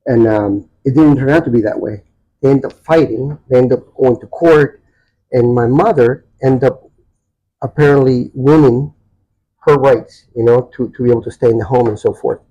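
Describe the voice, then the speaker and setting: A man in his sixties from Chile, a Spanish speaker, telling personal stories haltingly as he searches for words. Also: medium pitch, dark tone, dull audio. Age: 60s. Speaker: podcast guest. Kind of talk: personal storytelling in a casual interview.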